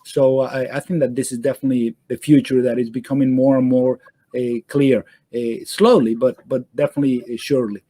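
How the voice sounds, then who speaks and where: A middle-aged man from Venezuela, answering interview questions in a halting way, full of fillers and restarts, over a video call. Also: mid-range voice, little pitch movement, dark tone, no room sound. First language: Spanish. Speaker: guest surgeon.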